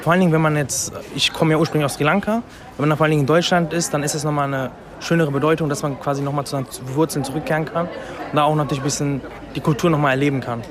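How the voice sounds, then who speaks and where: A man's voice: a little high, steady tone, racing. A man in his twenties from Germany, speaking spontaneously as an interviewee. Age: 20s. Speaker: interviewee, temple visitor. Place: busy festival site, recorded on location. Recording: crowd noise around the voice.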